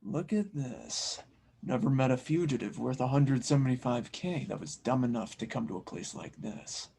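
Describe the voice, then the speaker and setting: A man voicing a boastful, menacing pirate bounty hunter with a loud, swaggering manner in an audio drama read. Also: mid-range voice, lively intonation, moderate pace, vocal fry, a little hiss or hum. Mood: smug.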